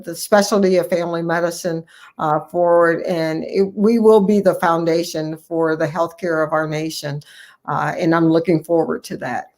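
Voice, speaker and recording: A middle-aged woman speaking as an interview guest, addressing colleagues encouragingly in a halting, deliberate way, repeating phrases for emphasis. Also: fairly low pitch, a little mellow. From United States, Ohio. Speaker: guest, family physician and academy president-elect.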